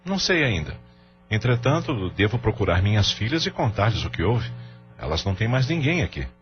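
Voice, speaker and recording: A man's voice: lowish voice, sing-song, moderate pace. A Brazilian man playing a distraught, guilt-ridden middle-aged father with a double life in an audio novela. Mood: remorseful, troubled. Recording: dull lo-fi audio with music bed.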